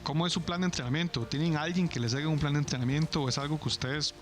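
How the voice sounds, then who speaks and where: A Costa Rican man hosting a TV talk show, interviewing guests in a fast, conversational way that corrects itself as he goes. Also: on the high side, faint music behind. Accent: Costa Rican Spanish. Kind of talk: studio interview.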